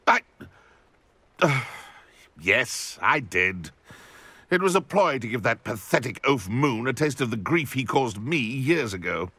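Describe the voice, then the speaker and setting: A spiteful, smug British man in a video game. His delivery is haughty and sneering, with very animated pitch. The tone is bright and cutting, in a dry recording. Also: measured pace, husky, no echo, exaggerated voice. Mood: flattered, sheepish. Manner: haughty, sneering, fussily articulate. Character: spiteful, smug grown man nursing an old schoolboy grudge. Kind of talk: dramatic video game voice acting.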